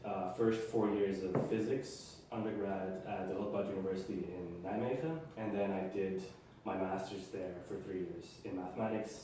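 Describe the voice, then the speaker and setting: Young man, quick speech, lowish mellow voice, echoey room, distant mic. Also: steady tone. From Netherlands. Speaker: guest. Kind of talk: casual chat. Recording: echoey room, far from mic, faint noise.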